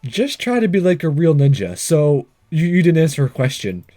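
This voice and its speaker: A man's voice: on the high side, very animated, normal speed, warm tone, faint music behind. A man giving casual, hesitant and wry game commentary, reading some of the text aloud as he plays. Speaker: let's-play host.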